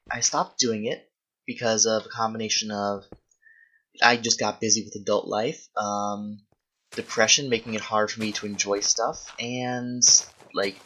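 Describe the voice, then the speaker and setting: Bright-voiced young adult, casual and hesitant. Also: mid-range voice, unhurried, rough recording. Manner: hesitant, self-correcting, thinking aloud. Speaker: video host answering viewer questions while gaming. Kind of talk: casual rambling gaming chat.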